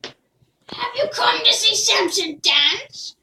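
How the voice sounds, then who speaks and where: A woman's voice: very high voice, animated, slow, bright, forceful tone, echoey room, recorded from a distance, slight background noise, pitched above the performer's own voice, full character voice. A woman voicing an old traveling woman with a dancing bear in a storybook, in a showy, theatrical way.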